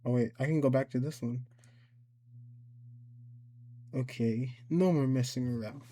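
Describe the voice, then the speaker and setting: A man's voice: mid-range voice, measured pace, warm tone. Casual man walking through a tutorial. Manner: informal, talking himself through each step. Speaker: tutorial host. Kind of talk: casual screen-recorded software tutorial.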